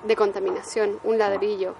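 A woman's voice: mid-range voice, level tone, moderate pace, creaky, darkish tone, a little hiss or hum. Chilean woman chatting as podcast guest. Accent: Chilean Spanish. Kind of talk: casual conversation.

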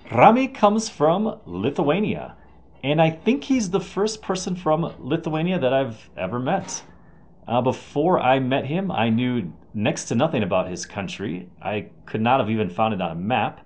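A man hosting a travel podcast, talking in a relaxed, chatty way and thinking aloud with fillers while interviewing a guest. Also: mid-range voice, moderate pace. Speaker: podcast host. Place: hostel in rural China.

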